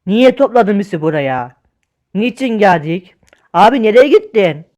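A man's voice: high voice, big pitch swings, unhurried, a little mellow. Man voicing a naive, whiny cartoon sidekick. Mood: puzzled, complaining. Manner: complaining, questioning. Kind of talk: comic cartoon dialogue.

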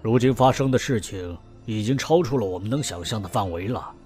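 A knowing family elder played by a man recounts an old family legend in a fan audio drama. His voice sits somewhat low and he tells it in an even, measured way. Soft music plays behind him. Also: close to an everyday voice. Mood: explaining. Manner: explanatory, storytelling, measured.

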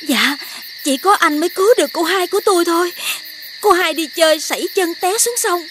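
A woman playing an anxious young household servant in an animated folk tale, pleading deferentially. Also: very high voice, fairly quick, background noise. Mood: desperate pleading. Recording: clear night-time cricket ambience.

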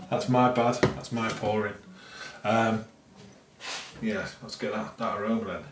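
A man's voice: lowish voice, fairly even intonation, slow pace, noisy background. A middle-aged man casually reviewing a beer at home in his kitchen, rambling with many fillers and repeated words. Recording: roomy, slightly distant sound with faint music.

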